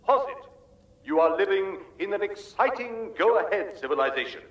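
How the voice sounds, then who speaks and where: An actor playing a hectoring teaching machine, declaiming theatrically and emphatically in a comic radio drama. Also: male voice, high voice, big pitch swings, normal speed, fairly bright tone, echoey room, dull audio. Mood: stern, brisk.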